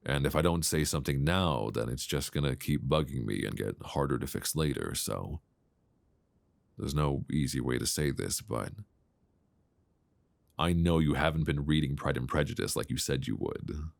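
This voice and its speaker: A man playing an affectionate, gently teasing long-distance boyfriend in an intimate, unhurried ASMR roleplay voice message, speaking conversationally close to a binaural microphone. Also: a bass voice, vocal fry, no echo. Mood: hesitant, mock serious. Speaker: audio roleplay performer.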